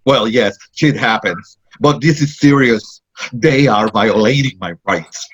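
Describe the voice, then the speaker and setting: A fussy, indignant Puerto Rican man, played by an actor with a Puerto Rican accent. He talks in a sarcastic, complaining way, at a middle pitch. The audio is dull and lo-fi. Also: measured pace, fairly bright tone, slightly rough. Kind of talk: play reading.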